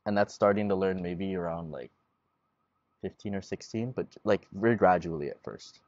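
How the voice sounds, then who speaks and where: A man in his twenties, a guest in a relaxed outdoor panel talk, explaining at length and gesturing as he speaks. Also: low voice, moderate pace, no room sound, muffled recording. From Guam. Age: 20s. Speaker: guest, Chamorro language learner. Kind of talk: casual panel conversation. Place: outdoors at a table in a leafy garden. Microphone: lapel mic.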